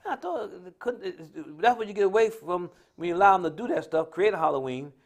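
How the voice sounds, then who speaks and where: A man's voice: sits high, animated, fast talker. His voice sits well above most men's. He is an American speaker giving unscripted religious social commentary. He talks in a colloquial, storytelling way and hammers points home by repeating them. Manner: emphatic, repetitive, colloquial storytelling. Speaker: speaker on a religious talk live stream. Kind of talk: impromptu preaching-style social commentary.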